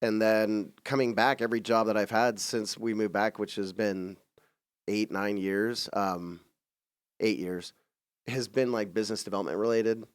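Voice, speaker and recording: A man's voice: lowish voice, steady tone, moderate pace, clear, resonant tone. A man co-hosting a podcast, chatting casually and conversationally. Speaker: podcast co-host.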